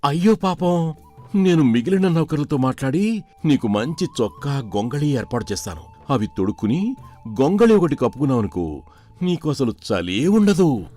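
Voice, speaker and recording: A man's voice: a little high, sing-song, normal speed, mellow, soft background music. A man playing a curious, kind-hearted household servant in a children's moral story, earnest and inquisitive. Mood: kindly, eager.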